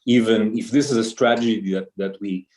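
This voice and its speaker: Man, low voice, native Hebrew speaker, academic question, tentative thinking-aloud delivery. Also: steady tone, fairly quick, darkish tone. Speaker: audience member asking a question. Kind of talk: academic discussion.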